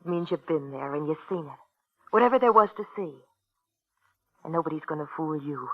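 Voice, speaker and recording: An actress playing a frank, plainspoken young diner waitress, wistfully smitten, in a 1950s radio drama. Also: female voice, deep voice, animated, a little fast, no room sound. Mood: knowing, intimate. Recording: very muffled, dry vintage radio recording.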